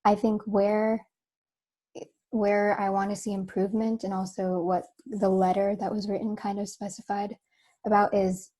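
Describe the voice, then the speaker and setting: Young woman a bit hoarse, dark mellow voice, flat delivery, earnest board meeting talk. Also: mid-range voice. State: slightly hoarse. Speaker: student board member. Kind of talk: spoken remarks at a board meeting.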